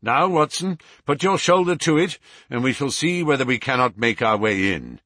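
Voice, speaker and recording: Man as gentleman detective, commanding, keen, formal, animated pitch, dry sound, storybook. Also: no echo. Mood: decisive. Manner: brisk, authoritative, formal phrasing. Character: commanding, keen, self-assured male detective.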